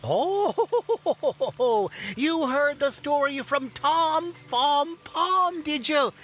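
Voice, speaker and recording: A woman's voice: high-pitched, animated, very slow, a bit bright, faint music behind, heavily acted. A woman playing a whimsical, mischievous yet kindly elf in an audio drama, giggly and theatrical, with playful rhymes and grand old-fashioned phrasing. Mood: whimsical, chatty.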